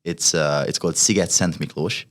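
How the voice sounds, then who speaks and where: A man's voice: a bass voice, fairly even intonation, normal speed, fairly bright tone. A young man in his twenties from Hungary with a Hungarian accent, a college fencer talking as a guest, hesitant with many fillers and restarts. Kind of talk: casual interview chat.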